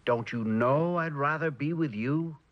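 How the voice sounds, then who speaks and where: An actor playing an earnest, driven scientist, intense and pleading, in mid-century TV drama style. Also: male voice, a little high, measured pace, close to an everyday voice. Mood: exasperated. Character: earnest, burdened, driven project scientist and husband. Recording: dull old television soundtrack.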